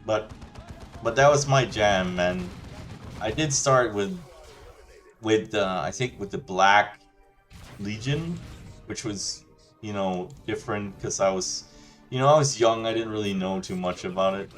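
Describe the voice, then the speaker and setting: Guy ranting casually, low voice, rambly and chill, lots of you know. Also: unhurried, pauses often, fairly bright tone, faint music behind. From Denmark. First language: Danish. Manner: rambling, loose and chatty, many restarts and "you know". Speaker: gaming channel host. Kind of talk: casual rant over gameplay.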